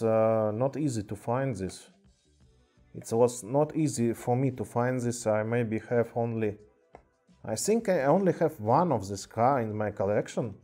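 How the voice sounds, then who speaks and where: A man with a Russian accent casually chatting on a live stream in halting English, with many fillers. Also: mid-range voice, mellow. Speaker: streamer showing toy car collection. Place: indoor room with cluttered table. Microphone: webcam mic.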